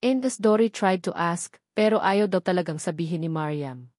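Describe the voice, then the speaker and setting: Woman acting a calm, tentative male executive. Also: on the low side, moderate pace, no room sound, clean studio sound, lightly acted. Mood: hesitant, concerned. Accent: Filipino.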